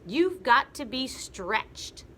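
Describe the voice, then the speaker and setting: Woman reads a cruel old prankster husband, gloating, bright and pushed. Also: sits high, sing-song, extremely slow, slight background noise, pitched above the performer's own voice, heavily acted. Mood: taunting. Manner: taunting, gloating. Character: nasty old man, gleeful cruel prankster.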